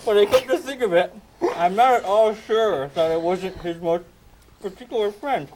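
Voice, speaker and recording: An actor playing a joking townsman in an amateur comedy radio play, ad-libbing in a slow, goofy way. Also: high voice, darkish tone, close to an everyday voice, low-quality recording. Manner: slow, laughing, goofy ad-libs.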